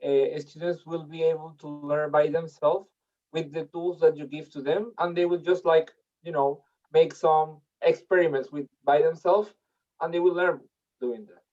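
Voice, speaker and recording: A man's voice: on the high side, steady tone, moderate pace, darkish tone, distant mic, dull audio. A man from Venezuela joining a webinar over a video call, talking loosely and hesitantly with fillers. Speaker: webinar participant. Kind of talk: casual discussion.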